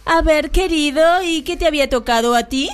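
High-voiced wicked kindergarten teacher played by a woman. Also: close to an everyday voice. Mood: mock-sweet, pleased. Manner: mock-sweet, imperious, drawn-out exclamations. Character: gleefully wicked female kindergarten teacher, bossy and cackling. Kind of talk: comic radio theatre monologue and dialogue.

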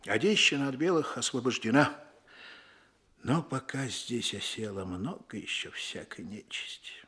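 An actor playing an earnest, fatherly Soviet police chief in a radio drama, coaxing and emphatic, with a husky voice. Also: medium pitch, animated, measured pace, a bit bright. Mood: earnest, persuasive. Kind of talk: Russian radio drama acting.

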